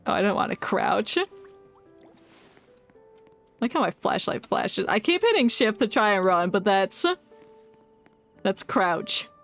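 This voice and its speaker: A woman's voice: medium pitch, sing-song, slow, long pauses, fairly bright tone. A woman giving casual live game commentary, giggly and chatting away to herself and to the characters in the game. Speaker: game streamer. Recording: background music under voice.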